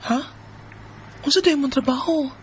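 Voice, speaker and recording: A woman's voice: high-pitched, normal speed, heavy background noise, close to an everyday voice. A woman playing a long-suffering young wife in a Bisaya radio drama, with plaintive, emotional delivery. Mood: puzzled, wary. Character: long-suffering young wife, trusting, then tearful and defiant.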